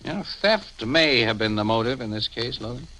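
An actor playing an easygoing, sharp-witted newspaper sleuth in a 1950s radio drama, slangy and reasoning aloud. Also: male voice, mid-range voice, expressive, moderate pace, rough recording. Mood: pondering.

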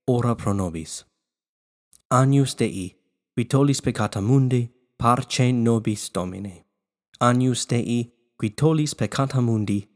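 A man hosting a prayer podcast, still congested after losing his voice, who moves from quick announcements to a slow, measured litany. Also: medium pitch, darkish tone. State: congested, recovering from lost voice. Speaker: podcast host. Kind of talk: podcast talk and prayer recitation.

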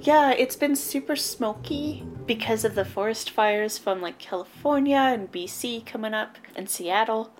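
A woman's voice: fairly high pitch, a little slow, few pauses, soft background music. Blunt, wry young woman co-host. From Canada. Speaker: podcast co-host. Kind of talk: casual podcast chat.

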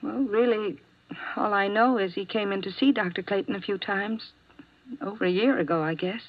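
A soft, breathy voice of a worn-out nurse, as an actress plays her, hesitant and quiet in every line. It is close and dry, muffled by an old radio broadcast. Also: medium pitch, normal speed, no echo, natural voice. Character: weary, nervous nurse, soft-spoken. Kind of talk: 1950s radio drama acting.

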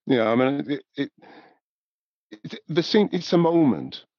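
A man, talking thoughtfully and in a measured way as an interview guest. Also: medium pitch, expressive, no room sound, dull audio. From north of England, born in Newcastle. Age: 70s. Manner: thoughtful, measured, with repeated "you know". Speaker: podcast guest, music industry professional. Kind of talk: reflective interview conversation.

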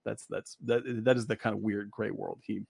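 A man with a gravelly, fry-tinged voice chats about a movie in a rambling, animated way. The sound is dry and close, with no room around it. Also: fairly low pitch, normal speed, dry recording. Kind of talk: casual film discussion.

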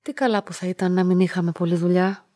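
An actress playing a lonely, quietly curious young woman in a radio play, telling her story in a measured, introspective way. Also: lowish voice, fairly even intonation, no room sound, clean studio sound, lightly acted. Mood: tentative, probing. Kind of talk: literary radio play narration and dialogue.

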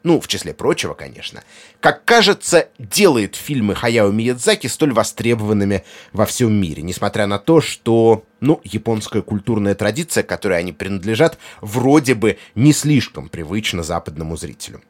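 A man's voice: fairly low pitch, very animated, normal speed. A man hosting a podcast, reading a scripted essay in an articulate, emphatic way. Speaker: podcast host.

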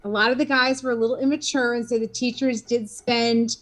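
A woman's voice: on the high side, fairly even intonation, brisk. Fifties woman, interview guest, rambling conversational delivery. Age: 50s. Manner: rambling, many fillers and restarts.

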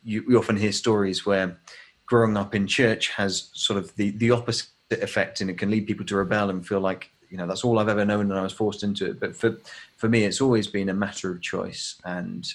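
Gravelly-voiced English man in his thirties. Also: lowish voice, steady tone, a little fast. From England. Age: 30s. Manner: thoughtful, with many fillers and restarts. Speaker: podcast guest. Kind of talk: casual conversation.

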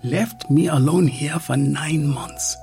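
A woman's voice: very animated, measured pace, soft background music. Distraught pregnant woman, as a narrator puts her on. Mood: resentful. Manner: rising to sharp high outbursts.

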